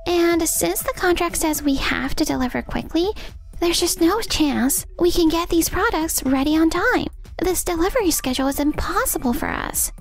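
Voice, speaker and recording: A woman's voice: extremely high pitch, animated, normal speed, gravelly, faint music behind, full character voice. A woman voicing a worried, practical young female office worker in a manga dub, speaking frankly. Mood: worried, apologetic. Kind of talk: manga dub character acting.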